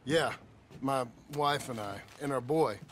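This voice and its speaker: An actor playing a weary, gruff outlaw husband trying to go straight, with an American accent and folksy, halting speech in a western game. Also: male voice, mid-range voice, a little hiss or hum, lightly acted. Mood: humble, hesitant. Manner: folksy, plain-spoken, halting. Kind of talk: cinematic western game dialogue.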